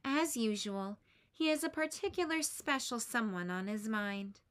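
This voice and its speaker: A woman teacher reading a children's picture book aloud, expressive and lifting her voice for the characters' lines. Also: a little slow. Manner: expressive, lifts pitch for quoted lines.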